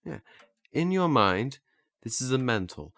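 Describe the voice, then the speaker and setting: A man's voice: medium pitch, sing-song, a little slow, fairly bright tone, no echo. A man playing an earnest, flustered learner in a naturalistic audio drama, hesitant and stammering as he restarts his phrases. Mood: earnest, explaining. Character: earnest, flustered male learner eager to master memory techniques.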